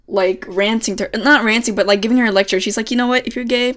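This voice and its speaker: A woman's voice: on the high side, steady tone, fast, fairly bright tone. A woman co-hosting a podcast in casual chat, informal and often repeating words as she breaks in. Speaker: podcast co-host. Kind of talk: casual chat reviewing an anime episode.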